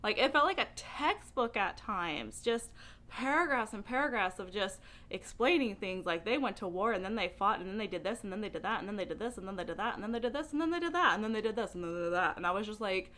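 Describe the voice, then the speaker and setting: A woman talking casually to camera as a book vlogger, with an animated, emphatic way of speaking. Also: medium pitch, quick pace.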